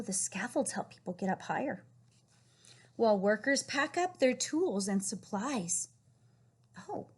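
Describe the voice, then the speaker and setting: A woman reading a picture book aloud to young children, encouraging and questioning them in an animated way with a husky, breathy voice. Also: high-pitched. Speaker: read-aloud storyteller teaching young children.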